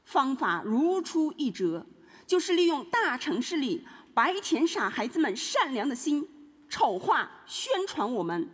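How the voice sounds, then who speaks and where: A woman's voice: sits high, very animated, a little slow. A woman playing an aggrieved, scornful mining company boss who makes accusations in a mock trial. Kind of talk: scripted audio drama. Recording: long hall reverb.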